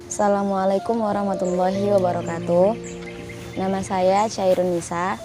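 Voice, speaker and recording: A young woman in her late teens from North Sumatra, a Quran memorization graduate, who speaks slowly and hesitantly and often corrects herself. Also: mid-range voice, flat delivery. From North Sumatra, Indonesia. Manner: hesitant, slow, self-correcting. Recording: background music under speech.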